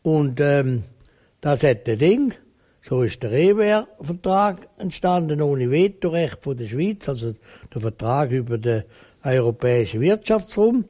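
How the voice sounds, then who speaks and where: A man's voice: on the high side, sing-song, measured pace, mellow. A man with a Swiss German accent, talking bluntly and emphatically in a rambling interview answer. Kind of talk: opinionated conversational interview.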